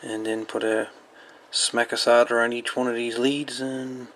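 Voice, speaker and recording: A man giving a casual hands-on repair walkthrough in a halting, folksy way with colloquial grammar. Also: mid-range voice, flat delivery, a bit bright. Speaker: repair video host. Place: indoor electronics workbench.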